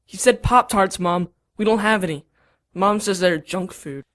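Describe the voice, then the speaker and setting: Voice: mid-range voice, moderate pace, fairly bright tone, lightly acted. A performer playing an eager, cheeky eleven-year-old boy in an audio drama, talking matter-of-factly.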